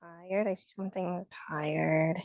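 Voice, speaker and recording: A woman, hoarse from a cold, teaching a sign language class over a video call and giving patient, encouraging feedback. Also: lowish voice, fairly even intonation, measured pace, a little mellow, no echo. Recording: dull, dry video call audio.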